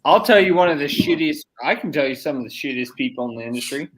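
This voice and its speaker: A man's voice: fairly high pitch, lively intonation, fast, poor audio. A young American man chatting and telling stories casually on a podcast, blunt and freely swearing. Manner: blunt, profane. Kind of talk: casual podcast chat and storytelling.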